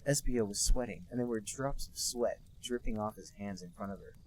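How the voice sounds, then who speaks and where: A man co-hosting a podcast, reading a bad fan story aloud in a deadpan, mock-serious way. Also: on the low side, brisk, a little hiss or hum, poor audio. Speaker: podcast co-host reading a fanfic. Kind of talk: comic dramatic reading.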